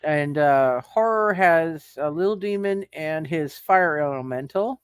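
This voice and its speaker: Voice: medium pitch, unhurried, clean tone, no room sound, clean studio sound. A game master narrating a tabletop roleplay casually, often repeating words and phrases while working out what happens.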